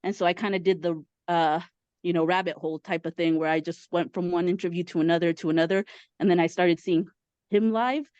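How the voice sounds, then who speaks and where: Mexican woman, 30s, chatty and excited, lots of um and uh, muffled dry zoom audio. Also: on the low side, fairly quick, clear, resonant tone, dry recording. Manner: eager, chatty, many fillers. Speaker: caller. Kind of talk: casual chat. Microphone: video call.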